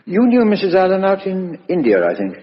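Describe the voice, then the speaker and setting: An actor playing a genial, probing British chief inspector in a 1950s radio drama, colloquial and businesslike. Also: male voice, high voice, wildly swooping pitch, brisk. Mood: politely probing. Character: brisk, genial but probing male police chief inspector. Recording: muffled, reverberant vintage radio recording.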